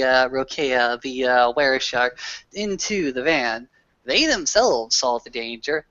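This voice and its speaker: A man's voice: a little high, sharp tone. Theatrical, mock-formal man on lo-fi call. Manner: theatrical, mock-formal oratory mixed with swearing. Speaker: tabletop roleplay player. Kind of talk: in-character storytelling. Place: online group voice call. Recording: dull lo-fi voice call.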